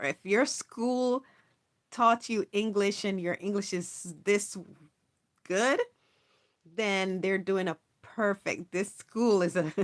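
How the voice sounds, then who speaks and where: A woman hosting a casual live stream, reading viewers' messages aloud and chatting with them in an encouraging, upbeat way. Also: medium pitch. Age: adult, not young. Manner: warm, encouraging, reads chat aloud, chatty with laughs. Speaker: livestream host. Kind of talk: casual livestream chat with viewers.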